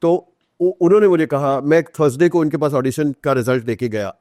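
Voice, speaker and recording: A man's voice: a little high, fairly quick, dark tone, dry recording, studio quality. A man in his sixties or older with an Indian accent, chatting as a podcast guest and telling anecdotes, slipping English phrases in. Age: 60s or older. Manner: switches into English phrases, acts out quoted dialogue. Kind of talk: conversational anecdotal storytelling.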